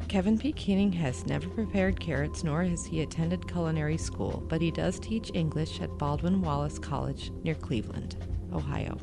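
A woman's voice: low-pitched, normal speed, gravelly. A woman hosting a podcast, reading a scripted closing announcement. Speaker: podcast host. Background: music behind the voice.

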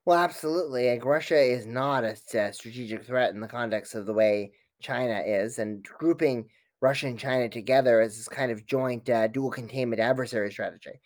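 A man's voice: mid-range voice, a little fast, few pauses, no room sound, clean studio sound. A man speaking as an expert guest in an interview, giving analytical, wordy answers with frequent false starts.

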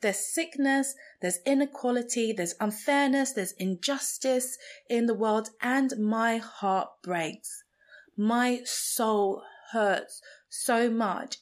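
A woman from Nigeria whose first language is Igbo, hosting a podcast and reflecting slowly and earnestly on her beliefs. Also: a little high. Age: adult, a mother. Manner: slow, thoughtful, earnest delivery. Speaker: podcast host. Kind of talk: reflective personal monologue.

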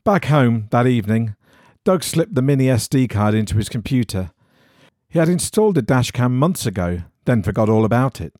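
A man reading an eerie horror story in a measured, suspenseful storytelling manner. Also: mid-range voice, animated, a little mellow, no room sound, studio quality. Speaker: story reader.

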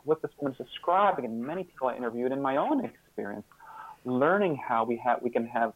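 Guy being interviewed, sounds like hes on the phone, mid pitch, earnest and reflective, repeats himself a bit hunting for words. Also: expressive, dry recording, phone-quality sound. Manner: earnest, halting with repeated words as he searches for phrasing. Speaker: interview guest and author. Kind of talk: reflective interview talk with personal examples.

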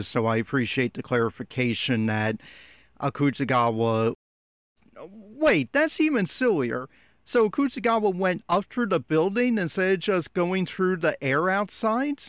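A man's voice: medium pitch, normal speed, clear, resonant tone, no echo, studio quality. A man giving blunt, exasperated commentary as he reacts critically to an anime episode. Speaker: podcast host giving audio commentary.

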